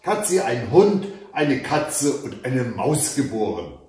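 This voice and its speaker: A man's voice: medium pitch, very animated, slow pace, a little mellow. Man reading as dramatic, scheming old queen mother. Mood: false lament. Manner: dramatic, exclamatory, admonishing. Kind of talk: storybook read-aloud. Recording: very echoey room.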